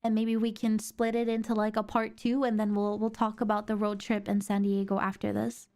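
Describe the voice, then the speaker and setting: A young woman streamer with a sore throat, chatting excitably and rambling with lots of fillers. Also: medium pitch, a little fast. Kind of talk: casual stream chat.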